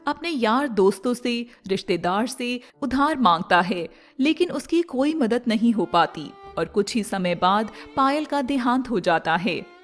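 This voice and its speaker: Woman narrator for a moral story, highish voice, scripted read, light music behind. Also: expressive, unhurried.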